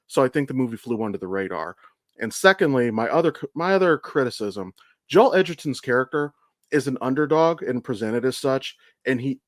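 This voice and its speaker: A man with a medium-pitched voice talks as a podcast co-host. His delivery is casual and blunt, full of enthusiasm and swearing. The sound is close and dry. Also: dry recording. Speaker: podcast co-host and amateur voice actor.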